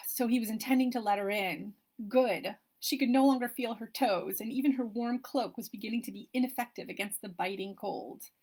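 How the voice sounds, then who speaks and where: Soft-voiced woman reading her novel. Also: fairly high pitch, normal speed, slightly rough, poor audio. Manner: expressive, shifting with the story. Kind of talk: audiobook-style reading of a romance novel.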